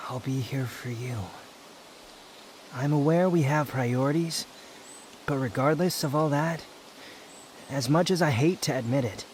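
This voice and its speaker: A man playing an aloof, proud young wanderer in an intimate roleplay audio drama, guarded yet secretly fond, with a brooding, haughty delivery full of pauses. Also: mid-range voice, noisy background, close to an everyday voice. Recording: outdoor nature ambience with insects. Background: insect and nature ambience.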